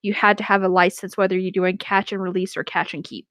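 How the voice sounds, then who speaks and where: A woman's voice: mid-range voice, little pitch movement, quick pace, fairly bright tone, no echo. A woman co-hosting a story reading, chatting and reading aloud casually. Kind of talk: casual chat and read-aloud.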